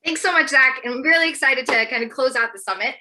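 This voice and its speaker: An American woman in her thirties speaks as a guest educator in an informal, personal style. Her voice is bright and fairly high, and she moves very quickly, leaning into emphatic asides. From United States. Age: 30s.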